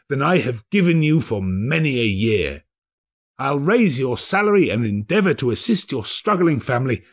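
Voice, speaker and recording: A man's voice: medium pitch, slightly rough. A man voicing an old reformed miser in a storybook, hearty and emphatic, with playful sternness. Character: old reformed miser, hearty, jubilant, mock-gruff employer. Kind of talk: dramatic storybook character reading.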